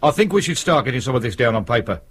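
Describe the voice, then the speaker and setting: A man's voice: medium pitch, sing-song, rapid-fire, breathy edge. A man acting a blunt, sardonic police detective sergeant in a radio drama, with brusque, colloquial speech. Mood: businesslike, probing.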